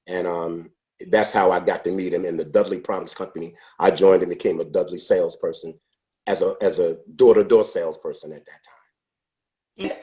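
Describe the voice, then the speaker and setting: A man in his sixties, a podcast interview guest, telling his life story in an unhurried, reflective way with frequent restarts. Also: low-pitched, fairly even intonation, warm tone. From United States. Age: 60s. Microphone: podcast microphone.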